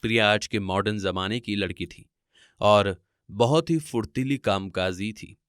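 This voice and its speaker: A man's voice: lowish voice, a little slow, a bit bright, clear, resonant tone, no echo, clean studio sound. A man narrating a scripted family story in a theatrical, rhetorical style.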